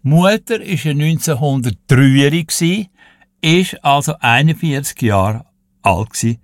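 A man's voice: a little high, animated, slow, dry recording, studio quality. An elderly Swiss German man in his eighties, a radio guest telling anecdotes from his life in a chatty, storytelling way. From Switzerland. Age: 80s. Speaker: radio show guest and music presenter. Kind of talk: casual interview storytelling.

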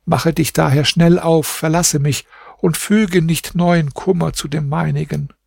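Man doing a heartbroken captive princess in a storybook, pleading and mournful, voice pushed up high, a little husky, dry close sound. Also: fairly even intonation, measured pace, a little mellow, no room sound, full character voice. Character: grieving captive young princess, tearful wife.

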